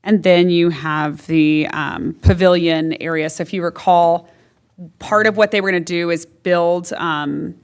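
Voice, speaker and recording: A woman's voice: on the low side, steady tone, a little slow, clear, resonant tone. A woman presenting options at a public meeting in a council chamber, chatty and full of "like" and fillers.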